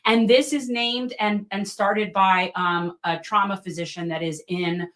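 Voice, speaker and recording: A woman co-hosting a casual political livestream, asking quick questions and thinking aloud with lots of "like.". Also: mid-range voice, fairly even intonation, fairly bright tone.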